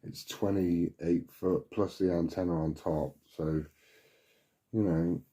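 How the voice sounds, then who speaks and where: Very dark, low-voiced man. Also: fairly even intonation, slow pace. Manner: musing, thinking out loud. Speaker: CB radio hobbyist asking for advice. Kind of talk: casual chat over CB radio.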